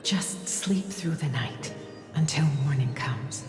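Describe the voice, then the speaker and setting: A woman playing a gentle, loving mother in a dramatic game cutscene, speaking tenderly in a slow, hushed, breathy voice. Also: sits low, animated, in a whisper. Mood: tender, soothing. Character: gentle, loving mother and holy maiden soothing her child. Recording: echoey long reverb under clear music.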